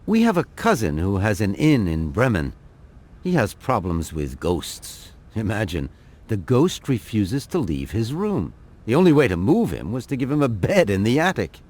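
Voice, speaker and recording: An actor voicing a polite, obliging male innkeeper in an adventure game, formal and expressive in his manner. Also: fairly low pitch, normal speed. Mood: earnest, obliging. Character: polite, obliging male village innkeeper, middle-aged or older.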